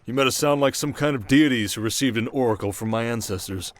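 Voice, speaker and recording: A man playing a shrewd, confident king in an ASMR roleplay drama, speaking in a measured, wry and protective way. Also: mid-range voice, close to an everyday voice. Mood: wry, amused.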